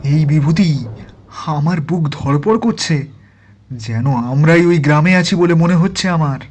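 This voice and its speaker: A man playing a frightened listener to a ghost tale, speaking in a slow, trembling, fearful manner. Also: on the high side, expressive, a little mellow.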